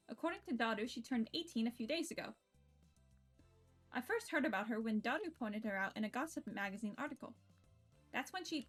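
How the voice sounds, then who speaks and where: A woman with a fairly high voice streams a visual novel, reading the narration aloud and breaking off into her own expressive, casual comments. She swears freely and interrupts herself. Soft game music plays behind her.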